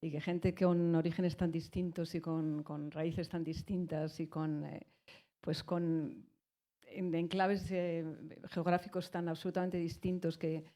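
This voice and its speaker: A middle-aged woman with a mellow, rather low voice talks through ideas on a panel. She is thoughtful and halting, with many restarts and fillers. She speaks as a musician from Spain. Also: flat delivery. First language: Spanish. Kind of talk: public talk on a panel.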